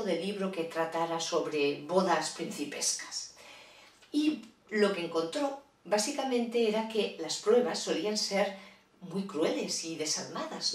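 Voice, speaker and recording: A woman's voice: on the low side, echoey room, recorded from a distance. A woman telling a children's fairy tale in a playful, chatty way, with asides to her listeners. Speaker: storyteller. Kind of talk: children's storytelling.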